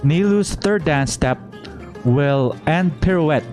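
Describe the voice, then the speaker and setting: A man doing a casual live gameplay reaction as a streamer, informally thinking out loud. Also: on the high side, expressive, takes it slowly. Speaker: game streamer. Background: faint game music.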